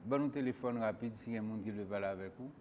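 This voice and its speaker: A man's voice: lowish voice, racing, a little mellow. A man hosting a call-in political talk show, who questions and presses his guest.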